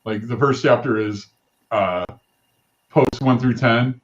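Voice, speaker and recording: A man hosting an interview show, chatty with quick asides. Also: medium pitch. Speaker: host. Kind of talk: casual interview chat. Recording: dull lo-fi call audio.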